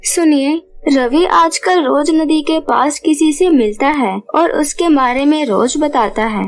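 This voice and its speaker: A woman voicing a caring, protective mother or mermaid in a cartoon story, with a gentle, concerned manner. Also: sits high, measured pace. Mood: worried. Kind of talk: cartoon moral-story voice acting.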